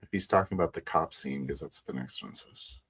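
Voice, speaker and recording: A man chatting casually after a game, effusive and slangy, with a husky voice. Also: a bass voice, fast talker, sharp tone, no room sound, studio quality. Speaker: tabletop roleplay player. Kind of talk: casual post-game chat.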